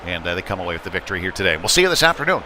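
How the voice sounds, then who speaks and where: A man's voice: sits low, sing-song, very fast. A man giving live play-by-play of an amateur hockey game in an informal, folksy, conversational way. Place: indoor ice rink during a live game. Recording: noisy rink ambience behind voice. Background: clear rink and game noise.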